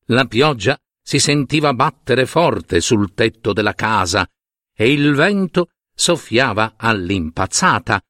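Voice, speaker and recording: A man tells a fairy tale as a dramatic storyteller. His pitch swings widely and his delivery is unhurried. The sound is dry and close. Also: fairly bright tone, dry recording.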